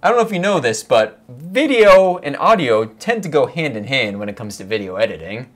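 A man's voice: mid-range voice, big pitch swings, brisk, fairly bright tone. A young man hosting a video editing tutorial in a casual, jokey chat style, on a lapel mic in a small room. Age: young adult. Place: small home studio room, indoors.

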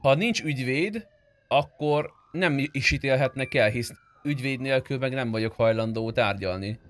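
A man streaming a roleplay game, talking casually and argumentatively in character, with some swearing. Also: medium pitch.

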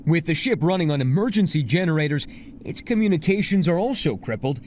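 A male network news correspondent narrates a story in a dramatic, emphatic way. His voice sits a little high and swings widely in pitch, with faint noise behind it. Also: animated, a little fast, darkish tone. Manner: dramatic, emphatic reporting delivery.

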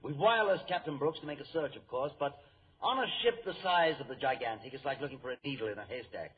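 Formal, anxious male businessman, old-time radio: husky voice, earnest delivery, tinny broadcast sound. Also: medium pitch, quick pace. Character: earnest, anxious, formal shipping gentleman with yacht. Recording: thin old radio recording.

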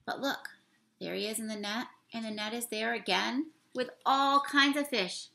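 A young woman with a high, bright voice reads a story to children indoors. She talks through each picture expressively, stretching words and putting questions to the listeners. Her voice often drops into creak. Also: moderate pace. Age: young adult. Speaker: teacher reading a picture book aloud. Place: small room indoors.

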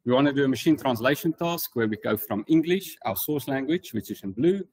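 A man's voice: medium pitch, expressive, a little fast, a little mellow, breathy edge. A man with a South African accent presenting an informal technical tutorial as a conference speaker, explaining things in a casual way. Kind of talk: informal technical talk with slides.

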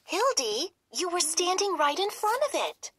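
A woman voicing a helpful, caring girl princess in a children's storybook, with a gentle, concerned manner. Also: very high-pitched, very animated, unhurried, no room sound, pitched above the performer's own voice, exaggerated voice. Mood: eager, helpful.

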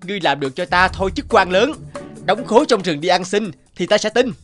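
A young mandarin from an animated folk tale. The voice sits high and moves expressively, performed theatrically with faint music underneath. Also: male voice, brisk. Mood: scornful. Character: arrogant young male mandarin, later humbled and contrite.

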